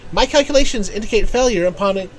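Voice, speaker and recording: A woman's voice: medium pitch, quick pace, pitched above the performer's own voice, natural voice, rough recording. A performer voicing a bookish young woman, a precise and absent-minded arcanist tutor in a fantasy game, speaking formally and wordily. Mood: calculating. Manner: formal, verbose, analytical. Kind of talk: reading game character dialogue aloud.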